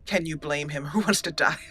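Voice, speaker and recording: A woman hosting a let's play, narrating in a theatrical, deliberate roleplay style with dramatic pauses. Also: deep voice, fairly bright tone, breathy edge. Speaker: gaming channel host.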